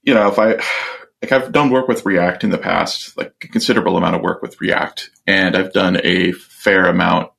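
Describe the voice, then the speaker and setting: Deep gravelly man chatting about tech. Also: fairly even intonation, moderate pace, a bit bright. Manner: rambling, hesitant, many restarts and fillers. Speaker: podcast co-host. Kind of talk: casual tech chat.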